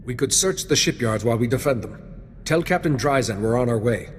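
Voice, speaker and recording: An actor playing a calm, noble, reassuring Jedi master in a video game. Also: male voice, medium pitch, a little fast, big-room sound, a little hiss or hum, lightly acted. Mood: composed. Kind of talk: video game cutscene acting.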